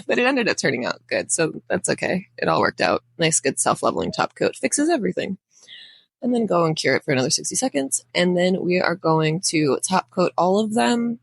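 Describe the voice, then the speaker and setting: A woman hosts a casual how-to voice-over in a crackly, under-the-weather voice. Her pitch moves in a lively way as she rambles informally through asides. The recording is close and dry. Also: no echo. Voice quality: crackly, raspy. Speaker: nail art tutorial host.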